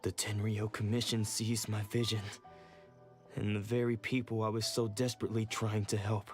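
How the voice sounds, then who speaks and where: A man performs a ruined swordsman from a video game, brooding and full of regret. His low voice carries a dramatic, self-doubting weight, with music clearly playing behind it. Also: level tone, normal speed, lightly acted. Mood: bitter regret.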